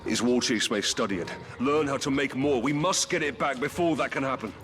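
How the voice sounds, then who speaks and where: A man's voice: medium pitch, fairly quick, lightly acted. A man playing a grim, resolute human ranger in a video game cutscene, earnest and commanding, with music under his voice. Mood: concerned, dry.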